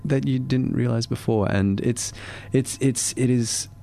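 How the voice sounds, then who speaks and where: A man's voice: medium pitch, fairly even intonation, unhurried, vocal fry, mellow. A man who is a guest on a radio show, speaking thoughtfully and unhurriedly with hesitant fillers. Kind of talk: relaxed interview talk.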